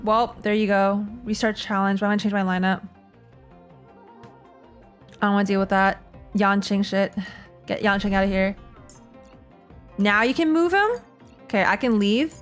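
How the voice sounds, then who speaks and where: A woman's voice: medium pitch, normal speed, long pauses, faint music behind. A woman live-streaming a game, chatting casually and thinking aloud in a rambling, slangy way. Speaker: streamer playing and reacting to a game.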